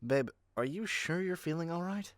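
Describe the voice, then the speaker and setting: A man's voice: fairly high pitch, animated, moderate pace, dry recording, clean studio sound, close to an everyday voice. A man playing a doting yet controlling yandere kidnapper in an ASMR roleplay, speaking intimately to the listener with sweet pet names. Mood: doting, concerned.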